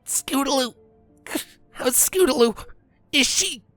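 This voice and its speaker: A woman voicing an injured young female pony, distraught and blunt, with some rasp, in a fan fiction audio drama. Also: high-pitched, slow pace, a bit bright, soft background music. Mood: panicked. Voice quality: raspy.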